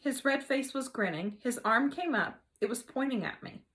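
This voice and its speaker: A woman teacher reading a children's novel aloud in an expressive storytelling manner. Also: high voice, normal speed. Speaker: third grade teacher.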